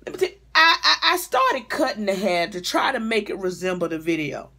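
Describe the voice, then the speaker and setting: A woman's voice: mid-range voice, very animated, brisk, gravelly, bright, forceful tone. Blunt, exasperated middle-aged woman. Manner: blunt, profane, exasperated, conversational. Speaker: vlogger and hair stylist. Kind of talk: casual comedic product rant. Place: small home room, indoors.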